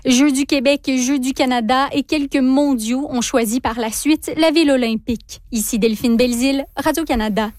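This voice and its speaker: A woman with a Quebec French accent reads a scripted radio news report. Her voice is high and recorded bone-dry, like a studio voice-over. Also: moderate pace, hardly any pauses, a little mellow, no room sound.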